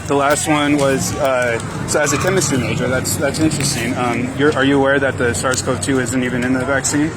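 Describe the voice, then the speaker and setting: Male street interviewer, mid-pitched voice, casual, hesitant, polite, very noisy outdoor recording with vehicles. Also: fairly even intonation, brisk. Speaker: citizen journalist interviewer. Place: outdoors by a vaccination line. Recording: loud outdoor traffic and vehicle noise.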